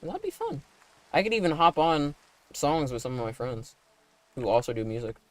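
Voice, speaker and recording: A man chatting casually on a livestream while playing a game, with a slightly raspy, deepened broadcast voice and a rambling manner full of likes and tag questions. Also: very animated, moderate pace. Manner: talking in a put-on broadcast voice. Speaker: animator and streamer.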